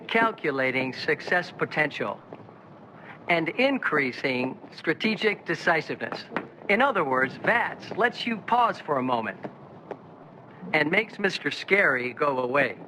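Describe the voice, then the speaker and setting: An actor playing a confident retro corporate pitchman, chipper and polished in a scripted promotional style. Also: sharp tone, slight background noise, heavily acted, low-quality recording. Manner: polished, salesman-like.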